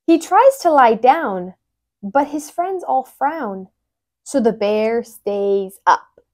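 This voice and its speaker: A young woman reads a children's picture book aloud in an expressive, animated way, wearing a lapel mic indoors. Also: fairly high pitch, slow pace. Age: young adult. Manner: animated, with brief asides explaining words.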